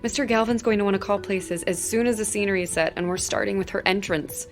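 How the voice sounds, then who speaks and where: A woman plays a dutiful young stage manager in a radio drama, earnest and worried, at a mid-range pitch. Faint music sits under her lines. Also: fast, close to an everyday voice.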